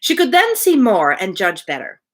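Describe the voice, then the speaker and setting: A woman's voice: mid-range voice, normal speed. A woman reading a novel aloud on a livestream, breaking into playful, casual commentary. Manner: playful, animated. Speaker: reader, livestream host.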